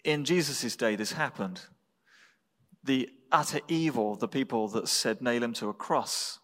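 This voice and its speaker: A man with an English accent preaching a sermon as a pastor, speaking in measured, deliberate phrases with pauses. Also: mid-range voice, a bit bright. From England. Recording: reverberant hall.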